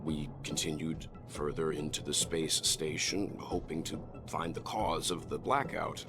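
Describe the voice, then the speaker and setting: An actor playing a calm, mature male space traveller in a video game, polite, measured and earnest. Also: a bass voice, over music. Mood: apologetic. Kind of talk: scripted video game voice acting.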